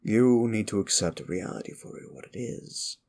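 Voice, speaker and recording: A man playing a wicked, contemptuous immortal demon in an ASMR roleplay, speaking in a theatrical, brooding way with a gravelly voice and deliberate pauses. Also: darkish tone, lightly acted. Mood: cold, contemptuous. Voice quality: low, gravelly. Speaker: audio roleplay performer. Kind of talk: ASMR roleplay monologue, addressed to the listener.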